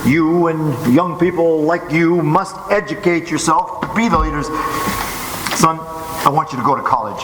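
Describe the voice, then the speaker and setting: A man playing a noble, fatherly masked Western hero in an old-time radio drama, solemn and measured. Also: a little high, background noise, close to an everyday voice. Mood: solemn, earnest. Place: theatre stage. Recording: echoey live hall, loud string music behind.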